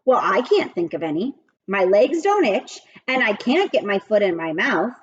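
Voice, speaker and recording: A woman's voice: mid-range voice, sing-song, moderate pace, slightly rough, muffled recording. A woman reading a children's storybook aloud, voicing a stubborn, earnest young schoolgirl who protests emphatically.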